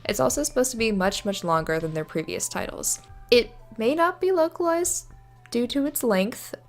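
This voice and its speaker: A woman's voice: on the high side, lively intonation, normal speed. A young adult woman giving casual, informal video commentary on games in a chatty, enthusiastic way. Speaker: video creator. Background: background music.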